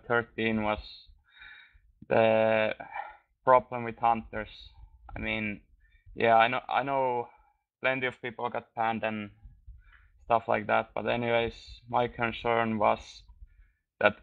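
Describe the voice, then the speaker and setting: A Finnish-speaking man answering interview questions, hesitant and halting with many fillers. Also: medium pitch, gravelly, clean tone. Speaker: guest, gamer. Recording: phone-like voice chat.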